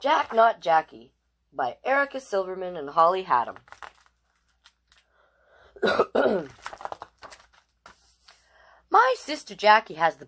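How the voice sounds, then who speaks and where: A woman's voice: a little high, fairly bright tone. A woman hosting a children's storytime, chatting directly with viewers and reading picture books aloud in a casual way. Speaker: storytime host and reader.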